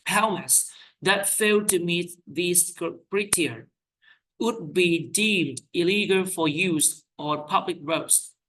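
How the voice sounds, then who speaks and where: An IELTS teacher reading sample speaking-test answers aloud, with quick self-corrections. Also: medium pitch, fairly bright tone, a little hiss or hum, rough recording. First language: Vietnamese. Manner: reads out questions and answers, corrects own slips with "sorry".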